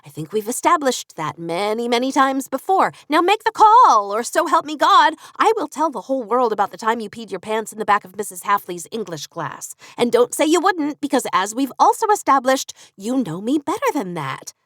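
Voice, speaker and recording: Pushy best friend, blunt and teasing, higher and louder than the narrator as read by a woman; bright, lively delivery, dry close read. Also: normal speed, hardly any pauses, no echo. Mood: bossy.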